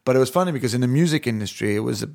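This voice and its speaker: A man's voice: medium pitch, expressive, rapid-fire, creaky, a little mellow. A man in his thirties from London with an American-sounding accent, musing in a casual family chat with many restarts and self-corrections. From England (London). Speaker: musician.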